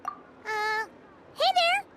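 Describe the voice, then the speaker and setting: An actress voicing a tiny, childlike fairy companion in a video game, with a squeaky, chatty, excitable and expressive delivery. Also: female voice, extremely slow, background noise, heavily acted. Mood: curious. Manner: refers to self in third person, expressive.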